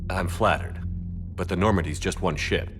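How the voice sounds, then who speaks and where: A low-pitched male military commander as acted by a man from a sci-fi video game. He sounds firm, measured and determined, with noisy ambience around his words. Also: lightly acted. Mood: businesslike. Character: resolute human male military commander, calm and steady. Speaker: player character military commander.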